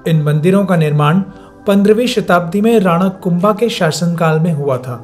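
A man's voice: high voice, normal speed, faint music behind. A man reading a scripted, informational travel voice-over as narrator.

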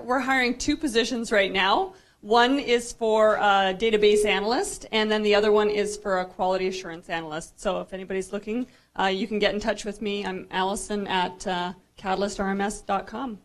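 A woman's voice: mid-range voice, steady tone, a little fast, hardly any pauses. Friendly woman making an announcement. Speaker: audience member, recruiter. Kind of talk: announcement to an audience.

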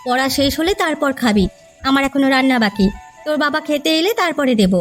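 Woman acting a high-voiced grumbling village wife. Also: animated, fast, a bit bright, faint music behind. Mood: brisk. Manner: no-nonsense, complaining. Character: hardworking village wife and mother, brisk and grumbling. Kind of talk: cartoon story voice acting.

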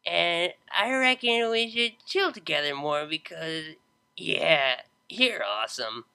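A woman voicing a drunk, laid-back young female unicorn DJ who drawls slow, slurred slang. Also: fairly low pitch, animated, bright, forceful tone, smooth, heavily acted.

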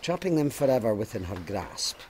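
A man's voice: mid-range voice, big pitch swings, fairly quick, darkish tone, slight background noise, lightly acted. An actor playing a stern, pious and haunted police sergeant in an audio drama, formal and emphatic in his speech. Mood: brooding.

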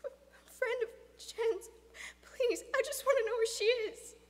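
A woman voicing a frightened, grieving young boy in an audiobook, earnest and defensive, with halting, stammering delivery. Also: very high voice, expressive, fairly bright tone, long reverb tail, pitched above the performer's own voice, full character voice. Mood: nervous, pleading. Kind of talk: dramatic audiobook character acting.

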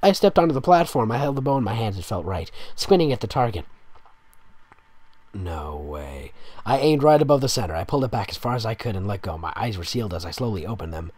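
A man's voice: lowish voice, sing-song, fast talker, darkish tone, no echo. A man reading fan fiction aloud in a dramatic style, with sarcastic, exasperated asides. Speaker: fanfic reader and commentator.

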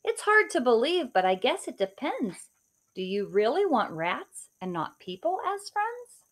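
A woman voicing an eager young male recycling superhero in a storybook, speaking brightly and emphatically. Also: a little high, sing-song, a little slow.

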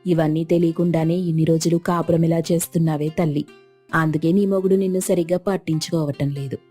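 A woman voicing a bossy, protective neighbour in an animated moral story, chiding and emphatic in a familiar way. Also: deep voice, quick pace, breathy edge, soft background music. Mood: coaxing, chiding.

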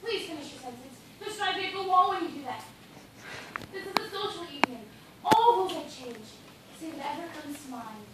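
A woman's voice: very high voice, animated, brisk. A young woman on stage playing an imperious, chatty female boss in a commanding, declamatory way. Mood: commanding, emphatic. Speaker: stage actor. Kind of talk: live stage comedy acting. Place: theatre stage indoors. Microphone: far camera. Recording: distant, very echoey, noisy auditorium recording.

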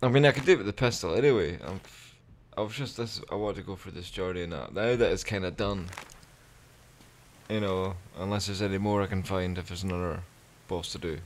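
A man's voice: deep voice, a little fast, a little hiss or hum. A man with a Scottish accent giving casual, sweary, rambling commentary as he plays a video game. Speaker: gaming video creator.

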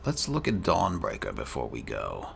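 A man with a deep, gravelly voice narrates his role-playing game in character. He is measured and theatrical, and his pitch moves with lively swings. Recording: faint background noise.

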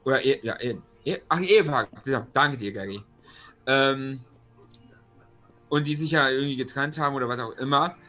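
A man's voice: mid-range voice, normal speed, sharp tone, soft background music. A man who plays in a tabletop role-playing game, giving a casual recap in a hesitant, rambling manner. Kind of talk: casual spoken session recap.

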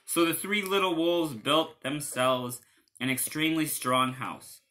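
A man, reading a children's storybook aloud in an animated style. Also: a little high, a little slow. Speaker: storybook reader.

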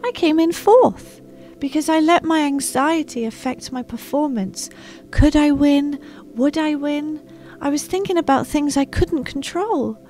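A gritty ninja friend from a children's storybook, read by a woman, reassuring and instructive. The delivery is emphatic and fairly high in pitch. Music plays underneath. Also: a little slow, darkish tone. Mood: confiding.

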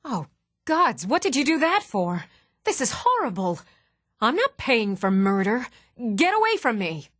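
An actress voicing an even-tempered townswoman in a fantasy video game. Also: on the high side, unhurried, no room sound, clean studio sound, heavily acted. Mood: horrified. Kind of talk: video game character dialogue.

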